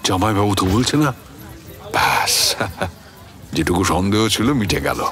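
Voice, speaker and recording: A man playing a puzzled, suspicious narrator of a radio story, conversational and expressive, with a West Bengal Bengali accent. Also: lowish voice, a little slow, creaky, full character voice. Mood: bewildered, musing. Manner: conversational, expressive, self-questioning. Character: puzzled, suspicious man recounting a baffling encounter. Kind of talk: dramatized first-person storytelling for radio.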